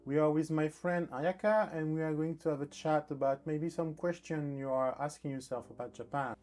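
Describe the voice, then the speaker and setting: A man hosting his channel, greeting viewers in a relaxed and slightly apologetic way. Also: on the high side, moderate pace. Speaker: channel host. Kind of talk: video intro to viewers.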